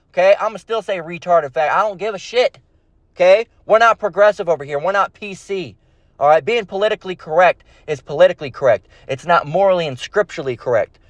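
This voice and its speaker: A man's voice: sits high, no echo. A man preaching off the cuff in a blunt, slangy, confrontational manner, often repeating himself and tagging phrases with short check questions. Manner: slangy, tags phrases with "all right?" and "okay?". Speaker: street-style Bible preacher. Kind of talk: informal impromptu sermon with scripture reading. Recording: dull, dry lo-fi sound.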